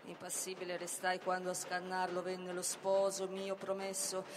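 A woman's voice: lowish voice, monotone, normal speed, natural voice. A woman playing a betrayed, vengeful sorceress in a tragic stage monologue, declaiming with theatrical intensity. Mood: fierce, bitter. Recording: roomy reverberant hall, faint noise.

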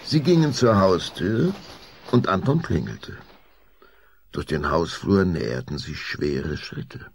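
Deep, gravelly, expressive male storyteller. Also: takes it slowly, a little hiss or hum. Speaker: narrator. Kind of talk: scripted storybook narration for children's audio drama.

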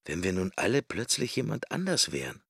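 Gravelly, brooding man in private thought. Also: lowish voice, very animated, brisk, fairly bright tone, dry recording, full character voice. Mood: uneasy, wry. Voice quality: gravelly, husky. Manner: murmured, wandering inner monologue in fragments. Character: brooding, wry middle-aged man musing on death. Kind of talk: radio drama interior monologue. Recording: roomless, very dry studio sound.

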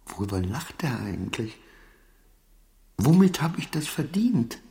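An actor in a German radio play as a suspicious, agitated titular councillor, halting and repetitive, scolding and wheedling his servant. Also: mid-range voice, very animated, mellow, husky, full character voice. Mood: irritated scolding.

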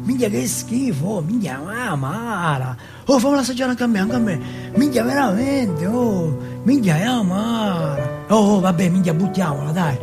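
Guy doing a mouthy project teen, high voice, sweary, repeats himself, music behind. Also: animated, moderate pace. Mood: excited, urgent. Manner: slangy, swearing, insistent, repetitive. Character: excitable, foul-mouthed streetwise teenage boy from housing projects. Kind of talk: one-man stage monologue dialogue.

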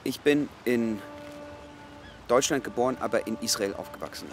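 An actor playing an earnest secret agent in his thirties in a radio drama, explaining himself with measured insistence. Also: medium pitch, soft background music, natural voice. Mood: earnest, confiding. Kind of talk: naturalistic radio drama acting.

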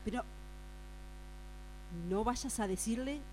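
Woman playing a hushed, high, gossipy girlfriend. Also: takes it slowly, low-quality recording. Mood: secretive, excited. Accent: Argentine. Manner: hushed, urgent confiding. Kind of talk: improvised radio drama workshop. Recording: mains hum noise.

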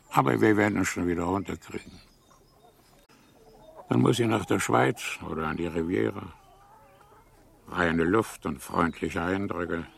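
A man acting a calm, reassuring older family doctor in a radio play, measured and matter-of-fact. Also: low-pitched, gravelly, husky, heavily acted.

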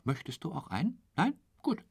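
An actor in a radio drama playing a coarse, self-satisfied, chatty glutton, with a husky voice and a drawling, muttering delivery that slips into whispers. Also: male voice, on the high side, huge pitch swings, normal speed, full character voice. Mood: smug, chatty.

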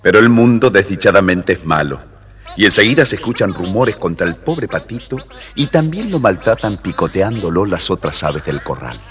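A man narrating a scripted children's story in an expressive, measured way. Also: deep voice.

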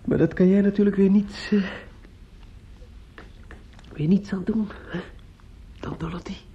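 A man's voice: high-pitched, steady tone, measured pace. An actor playing a grief-stricken, restless young man in a radio play, stammering and repeating himself, often dropping to a whisper. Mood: distraught. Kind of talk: intimate radio play acting. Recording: muffled, noisy old radio drama recording.

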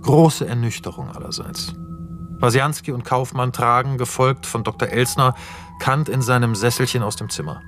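A man's voice: mid-range voice, normal speed, over music, natural voice. A man narrating scene and camera directions in a radio play, detached and scripted. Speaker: narrator reading screenplay directions.

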